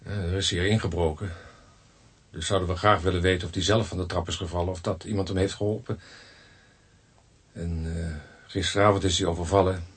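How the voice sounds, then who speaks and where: An actor playing a measured, factual policeman in a radio drama, with a husky voice. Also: deep voice, fairly even intonation.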